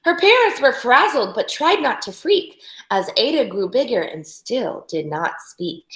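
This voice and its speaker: A woman reading a storybook aloud to young children in an expressive, teacherly way, with instructive asides to her listeners. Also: a little high, measured pace. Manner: animated, instructional, talks directly to young listeners. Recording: echoey room.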